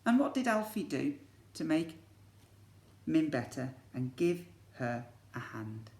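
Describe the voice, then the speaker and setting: Guy reading a kids story like a teacher, expressive, asks the kids stuff, echoey. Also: on the high side, slow. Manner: expressive, addresses young listeners with questions. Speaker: infant school teacher reading to pupils. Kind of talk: animated children's storybook reading. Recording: echoey room.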